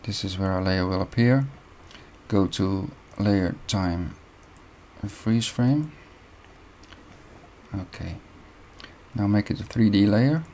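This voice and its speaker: A young man from the Netherlands with a Dutch accent, walking through a software tutorial step by step with short pauses. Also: on the low side, slight background noise. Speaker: video editing tutor. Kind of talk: screen-recorded software tutorial.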